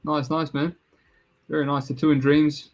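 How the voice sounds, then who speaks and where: A man's voice: a little high, little pitch movement, moderate pace. A man with a mixed Serbian-Australian accent hosts a podcast, chatting casually and swearing now and then. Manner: laid-back, swears casually. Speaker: podcast host. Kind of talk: casual chat.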